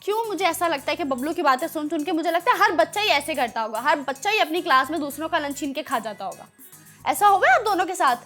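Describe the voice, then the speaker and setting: Lady presenting a kids show, really bright high voice, animated n teasing, bit of music behind. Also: quick pace. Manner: animated, playfully scolding, talks to children. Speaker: children's show host. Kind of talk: chatty children's TV presenting.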